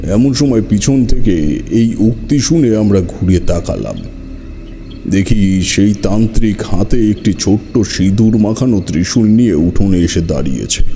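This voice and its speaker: Man for literary horror narration; deep, velvety-dark voice, dramatic storytelling, soft music underneath. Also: normal speed. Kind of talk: scripted first-person horror story narration.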